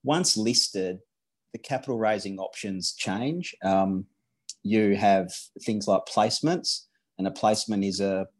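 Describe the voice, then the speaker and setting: A man with an Australian accent, a corporate lawyer from Western Australia, answering interview questions with many restarts and fillers. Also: lowish voice. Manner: halting, frequent restarts and fillers. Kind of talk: podcast interview.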